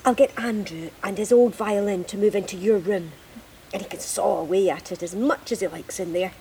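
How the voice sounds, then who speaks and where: A woman acting in an audio drama as a bossy, chattering woman who fusses over home and child in a brisk, gushing way. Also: medium pitch, warm tone, a little hiss or hum, close to an everyday voice, rough recording.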